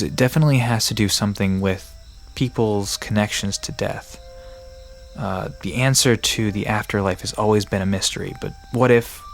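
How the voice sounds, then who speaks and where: A man's voice: lowish voice, natural voice. An actor playing a friendly, knowledgeable young man who explains folklore in an easygoing, reassuring way in an audio drama. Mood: thoughtful. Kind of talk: conversational audio drama acting. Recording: music and crickets behind voice.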